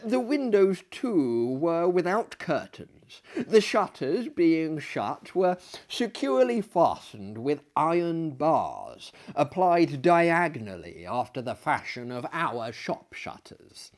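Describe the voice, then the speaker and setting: A man in his thirties giving a theatrical literary reading in a hammy, mannered way, with deliberate phrasing and dramatic swells and drops. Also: a little high, very animated. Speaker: dramatic reader and storyteller. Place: small book-lined room indoors, seated in an armchair.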